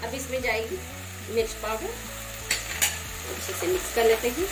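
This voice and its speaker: A woman talking through a home cooking recipe step by step, in a gentle, conversational way. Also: a little high, sing-song, normal speed, over loud music. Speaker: home cook. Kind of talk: cooking demonstration voice-over. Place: home kitchen. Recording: roomy kitchen, frying noise. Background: sizzling frying pan.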